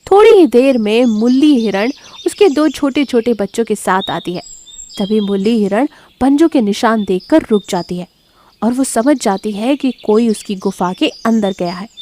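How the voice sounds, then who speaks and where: A woman's voice: on the high side, darkish tone, a little hiss or hum. A woman giving scripted narration of a children's moral story.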